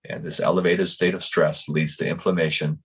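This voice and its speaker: A man in his fifties, a life coach, giving calm and earnest interview answers. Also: low-pitched, slightly rough. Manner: calm, earnest, speaks slowly in thought.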